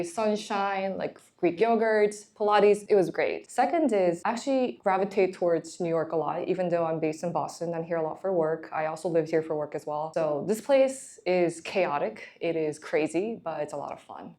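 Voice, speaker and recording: A woman's voice: lowish voice, warm tone. A young woman from Korea, a management consultant, giving candid, encouraging career advice in a chatty way.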